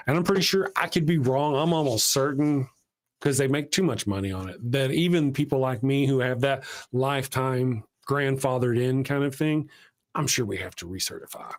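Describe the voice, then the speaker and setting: A man hosting a live stream, chatting casually and rambling in a self-deprecating way. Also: medium pitch. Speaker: host of a live show.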